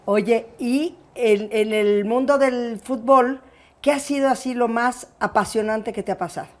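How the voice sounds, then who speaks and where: A Mexican woman hosting an interview, lively and curious, with quick reactions. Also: on the high side. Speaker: interview host. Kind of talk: casual interview chat.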